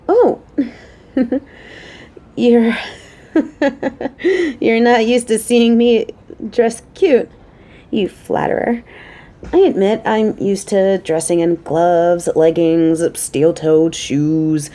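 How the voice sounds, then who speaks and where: Woman acting a playful, motherly coworker with mellow voice. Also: medium pitch, natural voice. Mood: pleased, affectionate, then chatty.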